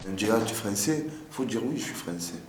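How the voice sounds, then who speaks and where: A man's voice: lowish voice, expressive, brisk, a little mellow, soft background music. A man talking casually in a street interview, repeating words and firing off rhetorical questions. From France. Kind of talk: casual, animated street talk. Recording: echoey, faint background noise.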